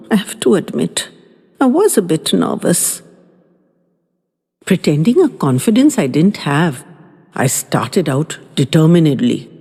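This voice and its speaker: A woman narrating a scripted first-person story in a gentle, reminiscing way. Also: on the low side, slightly rough, long reverb tail.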